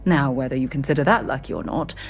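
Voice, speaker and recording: An actress playing an earnest, excitable space-explorer scientist in a video game, speaking in an articulate, formal, slightly flustered way. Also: female voice, rumbling low, fast, soft background music. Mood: measured.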